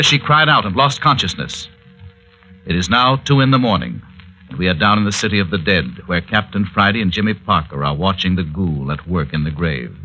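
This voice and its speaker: A man's voice: on the low side, sharp tone, heavily acted. A man with an American accent performing as a theatrical, ominous announcer-narrator of a 1940s radio adventure serial. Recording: dull vintage radio, music behind.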